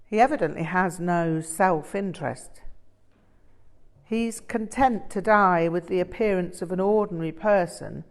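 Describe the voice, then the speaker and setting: Eager, argumentative male student, respectful and emphatic, unhurried read by a woman. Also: fairly low pitch. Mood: emphatic, explaining. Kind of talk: audiobook character dialogue.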